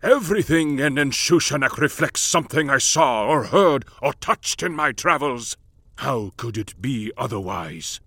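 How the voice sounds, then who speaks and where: Man doing a proud, bitter old aristocrat, husky and slow, lively swings in pitch. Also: no room sound, full character voice. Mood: snarling. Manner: slow, growling delivery. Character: old male lord, gruff, bitter and proud.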